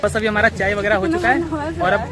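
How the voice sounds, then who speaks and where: A man's voice: high-pitched, expressive, quick pace. A man in his twenties from Bihar, India, chatting casually to camera as a college vlogger. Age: 20s. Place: outdoors on a college campus. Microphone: phone at arm's length. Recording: outdoor phone recording with crowd chatter, music.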